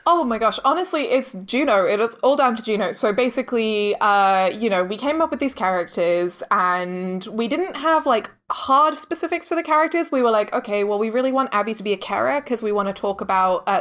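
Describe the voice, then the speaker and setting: A woman's voice: fairly high pitch, expressive, a little fast, few pauses, a bit bright. A woman speaking as a podcast guest in casual interview chat, effusive and earnest, often dropping "like" into her sentences. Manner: effusive, earnest, peppers speech with "like". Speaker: podcast guest, audio drama director and producer.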